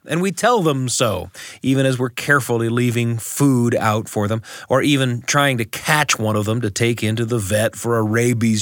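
A man's voice: mid-range voice, a bit bright, no room sound. Reflective man telling a personal story, close and dry. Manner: reflective, deliberate, with short phrases and pauses. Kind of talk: personal storytelling monologue, scripted narration.

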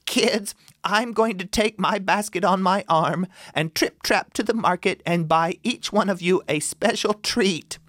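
A man voicing a caring mother goat in a storybook with a high, sing-song voice. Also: unhurried, few pauses, fairly bright tone, no echo. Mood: fussy.

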